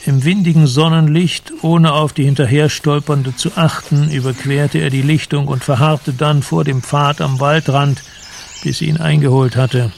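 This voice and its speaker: Gravelly male radio drama narrator. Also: a little high, fairly even intonation, normal speed, slight background noise.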